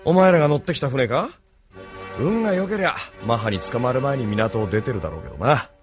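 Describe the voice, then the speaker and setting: Cocky street tough played by a man, swooping mid-range voice, rough talk, anime audio drama, light music behind. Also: mid-range voice, animated, brisk, close to an everyday voice. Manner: swaggering, rough-spoken. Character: cocky, brash street boss, tough young male brawler.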